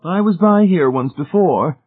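Man putting on a high, prim, fluttery matron. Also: animated, a little slow, slightly rough, narrow, tinny audio. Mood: prim, self-satisfied. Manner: fluttery, genteel, fussy. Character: prim, sanctimonious, gullible older woman. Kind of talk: audiobook character voice.

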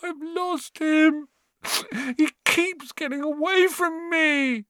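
Guy doing a weepy little boy, wails, sounds miserable and way high. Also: a little slow, smooth, dry recording. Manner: wailing, miserable. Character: tearful anxious young schoolboy.

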